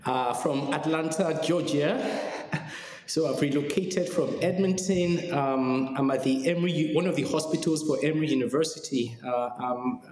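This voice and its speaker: A man's voice: a little high, darkish tone. Nigerian man in an echoey room. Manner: measured, explanatory, with frequent "um" and "uh" pauses. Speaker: guest. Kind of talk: podcast interview. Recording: echoey room with long reverb and faint noise.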